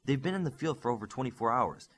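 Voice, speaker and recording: A young superhero team leader, played by a male reader, defiant and determined in an audiobook. The voice is slightly husky and lively in pitch, with a firm, unflinching delivery. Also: quick pace, natural voice. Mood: urgent advice.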